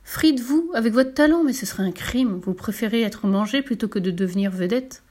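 A woman's voice: on the high side, expressive, a little fast, a little mellow. A woman voicing a blustering, wily male circus director in a children's story, with brusque interjections. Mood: persuasive.

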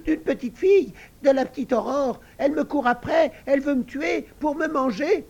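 A man's voice: very high-pitched, normal speed, darkish tone, voice pushed up. A man voicing a sly little pink pig that begs breathlessly and then wheedles. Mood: pleading.

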